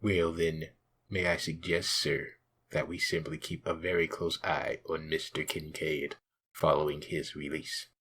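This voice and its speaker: Man as a very deep, raspy, deadpan policeman. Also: full character voice. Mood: sly. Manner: formal, measured, deadpan. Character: dry, polite, scheming male police sidekick. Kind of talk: comic dub acting.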